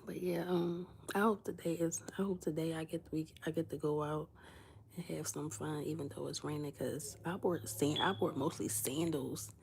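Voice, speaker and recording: A young woman live-streaming indoors in someone else's house, chatting casually with her viewers in a hushed, low voice that often drops to a whisper, and acting out the voices in her stories. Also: moderate pace, creaky, a little hiss or hum. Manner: animated storytelling, acting out dialogue.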